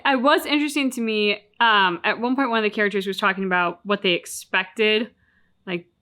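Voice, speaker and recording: A woman's voice: medium pitch, a little fast, bright, forceful tone. A woman co-hosting a reaction channel, casually discussing a video game and acting out bits of what characters say.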